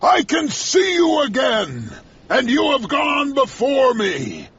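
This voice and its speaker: A man voicing an old, obsessed sea captain in an audiobook, bellowing with a raspy, strained voice. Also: very high voice, wildly swooping pitch, slow pace, a bit bright, muffled recording, voice pushed up, heavily acted. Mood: raging. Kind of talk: audiobook character voice.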